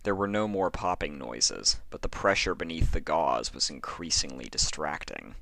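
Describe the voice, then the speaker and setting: A man with a low, forceful voice narrates a horror story. He phrases deliberately, leaving dramatic pauses between fragments. The recording is dry and close. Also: gravelly, dry recording. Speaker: fan fiction narrator.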